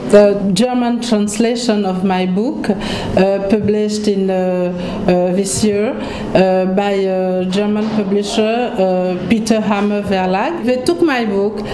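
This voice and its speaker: A woman giving a public speech in a halting, hesitant way. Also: medium pitch. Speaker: author and speaker. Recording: echoey room with clear background noise.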